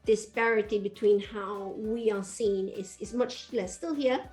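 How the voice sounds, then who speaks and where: A woman's voice: mid-range voice, fairly even intonation, measured pace, darkish tone, faint music behind, poor audio. A woman in her forties from Malaysia hosting a podcast over a video call, speaking in a thoughtful, reassuring way. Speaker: podcast host. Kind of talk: casual video-call interview. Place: home room.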